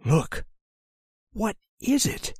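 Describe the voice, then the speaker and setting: A man's voice: extremely slow, darkish tone, no room sound, studio quality. A man playing an anxious, practical expedition helper in old-time radio horror, speaking in terse phrases. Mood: shaken.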